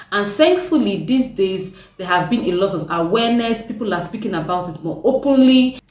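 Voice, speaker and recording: A young woman with a deep voice, chatting casually to her viewers and laughing often. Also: darkish tone, distant mic. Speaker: vlogger. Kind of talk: casual chat to camera. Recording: echoey room, mic set back.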